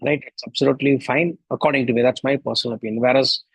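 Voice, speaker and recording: A man's voice: mid-range voice, fairly even intonation, very fast, vocal fry, slightly rough, dry recording. A middle-aged man from India with an Indian accent and Tamil as his first language, giving a reflective solo podcast monologue full of rhetorical questions. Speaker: podcast host.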